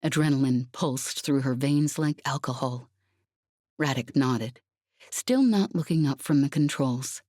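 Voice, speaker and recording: Low-voiced woman narrating fiction, dry sound. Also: a little slow, a little mellow, no room sound. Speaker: audiobook narrator. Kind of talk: dramatic scripted fiction narration.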